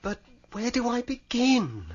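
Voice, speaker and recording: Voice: medium pitch, very animated, a little slow, highs cut off, noisy background. An actress playing a curious, eager boy apprentice in an audio drama, tentative and wondering, speaking in short phrases.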